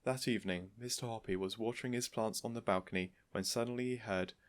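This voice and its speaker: Male children's audiobook narrator, lowish pitch, dry studio-like sound. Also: fairly even intonation, fast, no echo. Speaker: audiobook reader. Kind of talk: scripted children's story narration.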